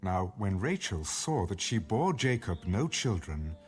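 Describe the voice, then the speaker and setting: Low male scripture narrator over soft music. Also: big pitch swings, unhurried, breathy edge. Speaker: audio Bible narrator. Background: background music.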